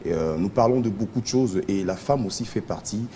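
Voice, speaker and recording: A Congolese man speaks as a charity guest on a television show. His voice is deep and dark, and he moves haltingly, searching for words. A slight low noise sits under the studio recording. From Kisangani, DR Congo. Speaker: charity association representative, TV guest. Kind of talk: spoken interview answers.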